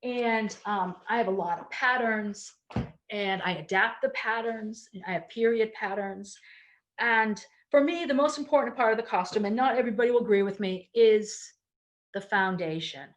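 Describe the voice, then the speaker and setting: A middle-aged woman with a Connecticut accent, touched by a bit of Baltimore, talks casually about her craft. She is chatty and loose, often pausing on ums and starting sentences over. Her voice sits at a medium pitch for a woman. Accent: Connecticut, with a trace of Baltimore. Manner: chatty, with many ums and restarts. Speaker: performer and storyteller giving a talk. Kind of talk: casual explanatory talk.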